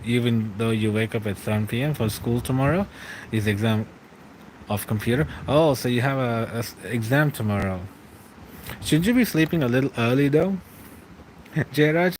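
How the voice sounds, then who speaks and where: A young man streaming late at night from home, answering live chat in a relaxed, rambling way, often laughing and trailing off. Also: medium pitch, moderate pace, a little hiss or hum, poor audio.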